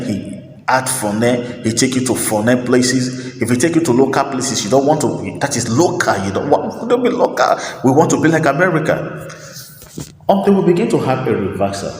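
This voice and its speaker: A man hosting a talk, speaking his opinions in an emphatic, preachy monologue, repeating phrases and pausing on fillers. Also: mid-range voice. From Nigeria. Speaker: host. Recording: roomy echo with long reverb, faint ambience.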